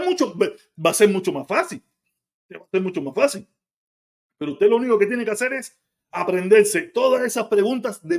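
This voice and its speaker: High-voiced Cuban man ranting. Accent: Cuban Spanish. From Cuba. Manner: blunt, argumentative, swears freely. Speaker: live stream host reading chat. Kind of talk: casual live stream chat.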